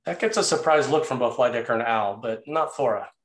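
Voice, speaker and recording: Male narrator for a table read: mid-pitched, scripted stage directions, echoey room sound. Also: a little fast.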